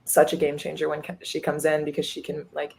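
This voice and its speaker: A woman's voice: low-pitched, completely flat delivery, fairly quick, creaky, darkish tone, slight background noise. A woman in her twenties, a college athlete, chatting upbeat in a podcast interview with many fillers. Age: 20s. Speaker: guest, college goalkeeper.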